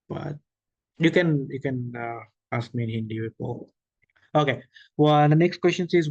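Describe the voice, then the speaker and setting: Gravelly-voiced man teaching online. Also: medium pitch, no echo, dull audio. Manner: explains slowly with many okays and restarts. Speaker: workshop presenter. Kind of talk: online lecture with questions. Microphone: video call.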